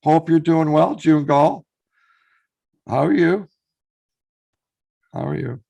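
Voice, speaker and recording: A man with a hoarse voice, chatting casually on his live stream, greeting viewers by name and reading out their messages. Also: a little high, slow, warm tone.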